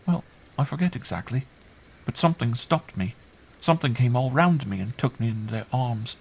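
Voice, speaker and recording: A man's voice: mid-range voice, animated, normal speed, gravelly, husky, voice pushed up, rough recording. A man voicing a bewildered, earnest young girl in an audiobook, trusting and fragile, speaking simply. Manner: hushed, simple, earnest delivery. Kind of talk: audiobook character voice.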